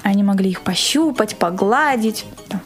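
A woman in a casual interview, recounting an event as its organizer in an animated storytelling way. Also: mid-range voice, normal speed, faint music behind. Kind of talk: casual spoken interview recollection.

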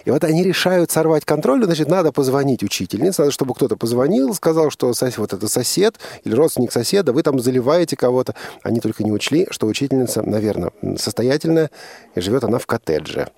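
A male radio host talks in a middle-pitched voice. He interviews conversationally, often breaking off and rephrasing. The studio sound is dry. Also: expressive, fast talker, vocal fry, a little mellow, no echo.

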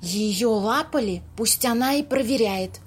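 A woman acting an impatient, sharp-tongued young woman in an amateur audio play, snappy and sarcastic. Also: a little high, measured pace, breathy edge. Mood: hushed, skeptical.

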